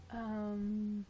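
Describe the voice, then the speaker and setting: A woman's voice: husky, muffled recording. A woman in her late twenties hosting a casual livestream, chatting and joking with viewers. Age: 20s. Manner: chatty, jokey, with playful high exclamations. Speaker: livestream host. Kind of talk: casual livestream chat reading viewer comments.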